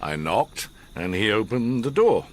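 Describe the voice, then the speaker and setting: Older learned gentleman, radio drama. Low, animated delivery, measured pauses, grave intensity, slight background noise. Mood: grave, dramatic.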